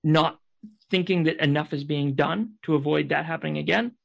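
A man speaking earnestly as a guest in a panel discussion, pausing to search for words. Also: fairly high pitch, fairly even intonation, vocal fry, highs cut off. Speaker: podcast guest.

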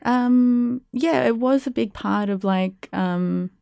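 A woman's voice: fairly high pitch, takes it slowly, gravelly, mellow, no room sound, clean studio sound. A young Australian woman, a guest whose first language was Spanish, answering interview questions in a reflective way with many ums and likes. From Perth, Western Australia. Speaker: guest, arts curator and producer. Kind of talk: casual interview.

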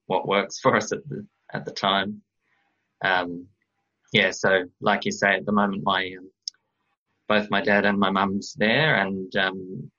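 A young man from Australia speaks in a very low, flat voice, searching for words with many pauses and fillers. His tone is bright and forward, though the recording sounds dull and muffled. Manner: hesitant, many ums and restarts. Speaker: guest. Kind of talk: radio interview.

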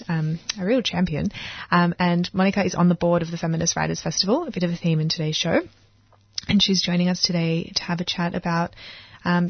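A woman's voice: lowish voice, dry recording, highs cut off. A woman hosting breakfast radio, enthusiastic, with many small affirmations. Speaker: radio host.

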